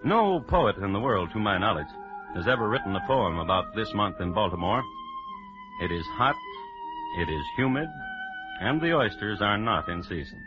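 A man hosting an old-time radio crime show, telling the story in a dry, deadpan, wry way. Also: fairly low pitch, normal speed. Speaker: host and narrator. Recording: dull vintage radio recording with music.

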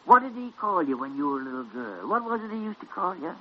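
An actor playing a gruff, sardonic older senior doctor in 1940s radio drama style. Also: male voice, fairly high pitch, very animated, fast, slight background noise. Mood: coaxing. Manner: blustering, sardonic. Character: gruff, needling old senior doctor, bossy but shrewd. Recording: muffled old radio recording.

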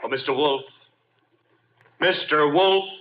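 An actor playing a wisecracking, long-suffering detective's assistant, wry and brisk, in a 1950s radio drama. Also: male voice, fairly high pitch, slight background noise. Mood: urgent, wry. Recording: muffled 1950 radio recording, loud music.

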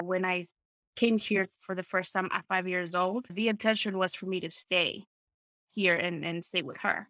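A woman's voice: medium pitch, pushed and bright, no room sound. Reflective woman, dry sound. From Peru, small town. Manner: reflective, open, often seeks agreement with tags. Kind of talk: personal storytelling, conversational.

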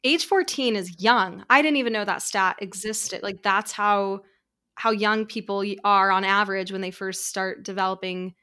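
A woman's voice: medium pitch, normal speed, bright, forceful tone. A woman from New York hosting an interview, asking friendly, thoughtful questions. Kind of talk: conversational interview.

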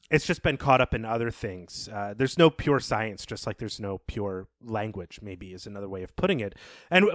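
A man co-hosting a literary podcast, talking through his analysis in a reflective, halting way as he searches for words. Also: medium pitch, no room sound, clean studio sound. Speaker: podcast host. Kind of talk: literary discussion, thoughtful analysis.

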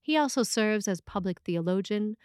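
A woman priest reading a scripted sermon aloud in a measured, expressive way with deliberate phrasing. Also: mid-range voice, clear, resonant tone, no echo.

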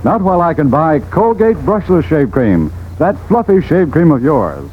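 A man's voice: fairly high pitch, sing-song, moderate pace. A man playing a satisfied ballplayer reading out a testimonial letter in a 1940s radio ad, emphatic and plainspoken. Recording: tinny, noisy old radio recording.

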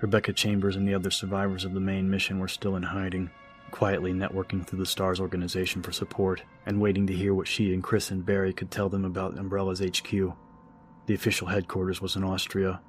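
A man's voice: low voice, completely flat delivery, fairly quick. A man narrating a scripted horror story as an audiobook narrator. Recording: music under the voice. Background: music.

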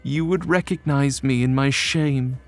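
A man's voice: mid-range voice, unhurried, faint music behind, close to an everyday voice. A man voicing a grieving, vengeful snow-spirit wife in an impassioned, accusing storytelling performance. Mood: wounded accusing. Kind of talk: dramatic storytelling.

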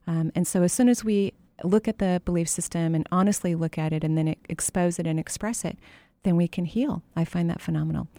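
A middle-aged woman hosts a call-in radio show with a chatty, laughing, storytelling manner. Her voice sits fairly low, and the studio sound is dry and close. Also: steady tone, fairly quick, mellow, no room sound. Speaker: radio show host and energy healer. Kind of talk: call-in radio advice chat.

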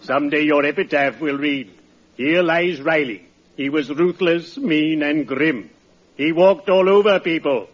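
A man's voice: on the high side, unhurried. A man playing a friendly, solemn undertaker in 1940s radio comedy, stately and formal, relishing morbid humor. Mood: morbid glee. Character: friendly, solemn undertaker who gleefully tells morbid puns. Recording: dull, noisy vintage radio recording, audience.

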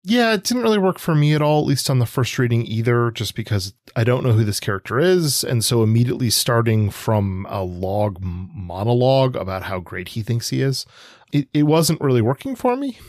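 A man co-hosting a podcast, discussing literature in a measured, analytical, conversational way. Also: mid-range voice, very animated, a little mellow, dry recording, studio quality. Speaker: podcast co-host.

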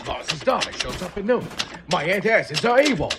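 An actor playing a harried, grumpy newspaper editor who complains and barks orders. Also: male voice, high voice, sing-song, moderate pace, background noise. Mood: exasperated. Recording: music underneath.

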